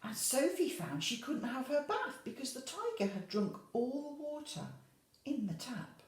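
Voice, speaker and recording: A woman teacher reading a picture book to young children in an expressive way, adding chatty asides and questions. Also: sits high, measured pace, roomy sound. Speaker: infant school teacher.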